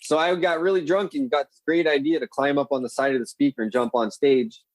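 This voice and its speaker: A man's voice: on the high side, fairly quick. Profane, rambling male podcast guest. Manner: profane, rambling, animated storyteller. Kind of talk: casual storytelling chat.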